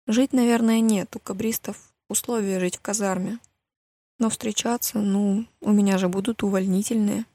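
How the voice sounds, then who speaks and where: A woman's voice: medium pitch, fairly even intonation, normal speed, warm tone, dry recording, natural voice. A woman voicing a reserved, sombre teenage girl in an audiobook, terse and guarded. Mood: reluctant.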